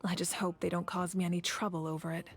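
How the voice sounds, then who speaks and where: An actress playing a composed, candid female leader in a video game, speaking in a measured, articulate way with wry assurance. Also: fairly low pitch, little pitch movement, heavy background noise, close to an everyday voice. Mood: pensive, composed. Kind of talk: scripted video game dialogue.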